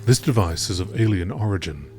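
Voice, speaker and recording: A man with a low voice narrates in a nature documentary style. His delivery is measured and informative, reading scripted entries over faint music. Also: lively intonation.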